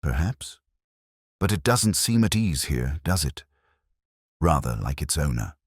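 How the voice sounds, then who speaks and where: A man voicing a mild, thoughtful police inspector in a quiet, deliberate, understated way for a mystery story. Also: very deep voice, sing-song, slightly rough, no room sound, studio quality, full character voice. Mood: mild, musing.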